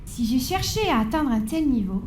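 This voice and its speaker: A woman in her forties tells her story from a stage, her voice pitched high and carrying through an echoey hall. She shifts between dramatic build-up and wry, playful asides to the audience. A faint hum of background noise sits under her words. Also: big pitch swings, moderate pace, a little mellow. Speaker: conference speaker.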